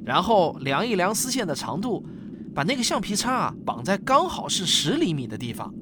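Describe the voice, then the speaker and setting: A man's voice: high-pitched, sing-song, normal speed, background noise. A man playing a patient, doting middle-aged father in a science fiction radio drama, coaxing and explaining things step by step. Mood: instructive, lively. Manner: explains step by step, coaxing, frequent sentence-end particles. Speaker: father explaining physics to a child.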